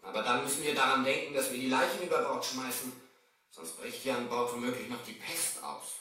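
Ein Mann spielt einen hellen, aufgeregten treuen Diener. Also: male voice, medium pitch, a little fast, lightly acted. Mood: practical worry. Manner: earnest, excitable, deferential. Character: loyal, anxious male servant of a shipwrecked master. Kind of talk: 1970s German radio play acting. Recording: echoing, slightly distant 1970 vinyl radio play.

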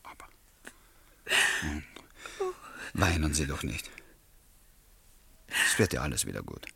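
Husky low-voiced lovelorn writer. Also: male voice, very animated, slow, bright, forceful tone, full character voice. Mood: consoling, indignant. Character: lovelorn male writer, earnest, easily irritated, narrating his story. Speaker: narrator and lead character. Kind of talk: radio play, confiding first-person narration and dialogue.

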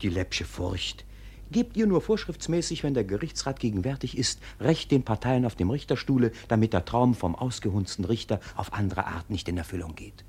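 An actor playing a sly, dutiful court clerk in a 1950s German radio play, speaking verse in a pointed, officious way. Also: male voice, on the low side, very animated, moderate pace, darkish tone, breathy edge, full character voice. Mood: sceptical. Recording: vintage 1951 radio recording.

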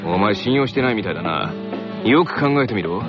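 A cocky, commanding teenage boy played by a man in a radio comedy skit. He talks down with dramatic, widely swinging pitch. Music plays clearly behind the muffled recording. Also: lively intonation, brisk, natural voice. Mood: condescending. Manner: imperious, condescending, dramatic. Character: cocky, bossy teenage boy tennis ace, scornful. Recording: band-limited radio sound over loud music.

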